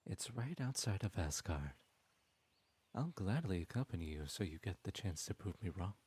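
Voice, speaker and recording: A very deep, husky male voice, as a man acts it out, plays a cunning trickster god who charms and manipulates with slow, silky words. The sound is dry and close to the mic, with no room around it. He teases gently and never seems to hurry. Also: expressive, dry recording, heavily acted. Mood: scheming. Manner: silky, teasing, slow and persuasive. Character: sly smooth-talking male trickster god. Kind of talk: ASMR audio roleplay.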